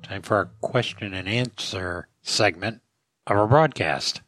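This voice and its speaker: A man, an expert podcast host, explaining casually in measured, halting phrases on a close microphone. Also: fairly low pitch, fairly bright tone, soft background music. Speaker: podcast expert host answering listener questions.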